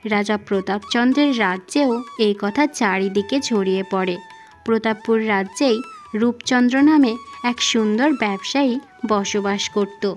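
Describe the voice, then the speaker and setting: A woman's voice: medium pitch, normal speed. A woman narrating a scripted fairy-tale cartoon as storyteller. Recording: music under the voice.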